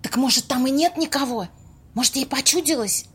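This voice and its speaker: A woman acting an impatient, sharp-tongued young woman in an amateur audio play, snappy and sarcastic. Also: high voice, a little fast, slightly rough, heavily acted. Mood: hushed, skeptical.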